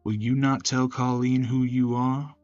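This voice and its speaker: A man's voice: medium pitch, flat delivery, measured pace, darkish tone, no room sound, dull audio, faint music behind, lightly acted. A man voicing a courteous, protective male caregiver in a visual novel, with a folksy manner. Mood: earnest, protective.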